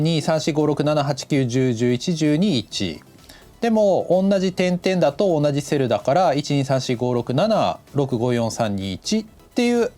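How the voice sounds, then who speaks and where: A man's voice: fairly high pitch, a little mellow. A man giving a casual tutorial as an animation instructor, explaining things step by step in an instructive way. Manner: instructive, demonstrating step by step, counting aloud. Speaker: animation director teaching a lesson. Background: background music.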